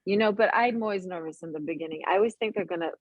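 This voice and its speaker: A middle-aged woman who grew up in Italy, speaking English as a guest actress in a casual interview, talking in animated bursts and often restarting her sentences. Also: fairly low pitch, racing, no echo, lo-fi sound. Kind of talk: casual interview over a call.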